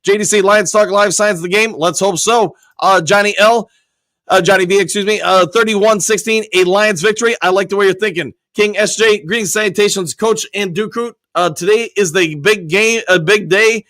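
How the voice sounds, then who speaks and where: Guy with a worn-out, almost gone voice, pretty high pitched, reading chat upbeat, dry sound. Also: steady tone, moderate pace, a bit bright, no echo. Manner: upbeat, reads chat comments aloud and answers them. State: voice nearly worn out. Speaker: host reading viewer comments. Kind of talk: casual live-stream chat.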